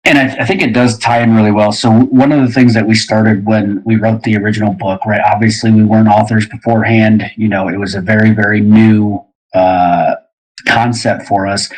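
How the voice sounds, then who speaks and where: A man's voice: on the low side, fairly even intonation, brisk, poor audio. A man explaining things casually as an author in a group conversation. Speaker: game book author.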